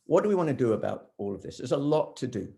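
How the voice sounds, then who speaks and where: Male conference speaker, mid-pitched relaxed voice, halting scholarly delivery with self-interruptions. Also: gravelly. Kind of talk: academic talk, loosely delivered from notes.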